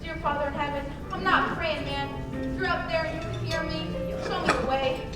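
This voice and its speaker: Frayed young family man at breaking point, played by a woman, high voice, radio play, echoey hall with music. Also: steady tone, moderate pace, natural voice. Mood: despairing. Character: desperate, frayed young husband and father. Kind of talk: old-time radio play acting. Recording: echoey hall, distant mic, music behind.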